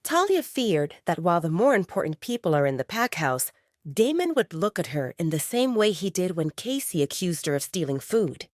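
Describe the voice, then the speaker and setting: A woman narrates an audio drama in a slightly low voice. Her delivery is scripted storytelling with wide, lively intonation, and the sound is close and dry. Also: moderate pace, no echo.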